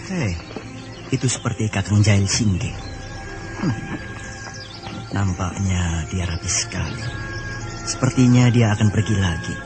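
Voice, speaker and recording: Man acting a low-voiced, musing young noble. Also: measured pace, pauses often, natural voice. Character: handsome, gallant young nobleman talking to his horse. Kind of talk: Indonesian audio drama acting. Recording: loud music behind.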